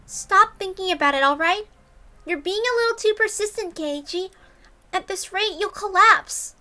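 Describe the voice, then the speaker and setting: A woman voicing a gentle, worried teenage anime girl in a sweet, coaxing manner. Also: very high-pitched, moderate pace, voice pushed up. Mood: gentle, concerned.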